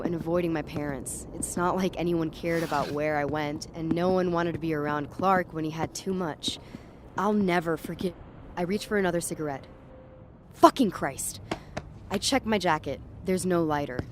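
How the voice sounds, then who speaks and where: Woman acting uneasy young woman telling her night walk in first person, lowish voice, audio drama, traffic noise behind. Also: fairly even intonation, normal speed, close to an everyday voice. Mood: angry, defiant. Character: uneasy, tripping young woman walking home at night, defiant. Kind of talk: first-person present-tense dramatic narration. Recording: clear street and traffic ambience behind.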